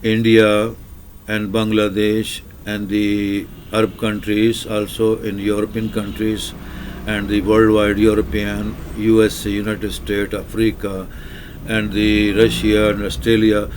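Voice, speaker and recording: Man, Urdu speaker, lowish voice, monotone, slow, halting monologue with lots of uhs. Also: slight background noise. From Pakistan. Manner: hesitant, many filler sounds and restarts, repetitive phrasing. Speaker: channel host. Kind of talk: rambling solo monologue.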